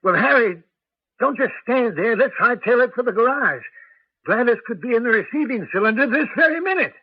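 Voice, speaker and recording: An actor playing an excitable helper in a radio drama, urging everyone to hurry. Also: male voice, extremely high pitch, a little fast, slightly rough, dry recording, heavily acted. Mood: urgent. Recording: tinny phone-like old radio broadcast.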